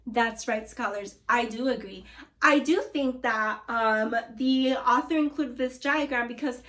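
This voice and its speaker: A woman teacher reads a children's nonfiction book aloud, stopping often to ask her young listeners questions. She speaks slowly and carefully. Her voice sits a little high. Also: fairly bright tone. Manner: slow, deliberate, addresses kids as scholars. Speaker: second grade teacher. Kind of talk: read-aloud lesson for children.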